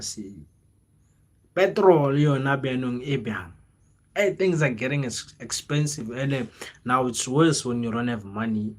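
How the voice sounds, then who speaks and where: A young South African man talking informally on a livestream, using plenty of slang, repeating phrases for emphasis and slipping into local languages. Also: mid-range voice. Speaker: livestreamer, content creator. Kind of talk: casual livestream chat, motivational rambling.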